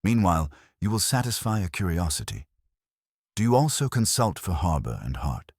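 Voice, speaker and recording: Guy doing a pompous brainy detective man, super low voice, lively pitch, precise and formal, audiobook. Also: moderate pace, husky, no room sound, clean studio sound, full character voice. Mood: imperious. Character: imperious, pedantic male genius detective.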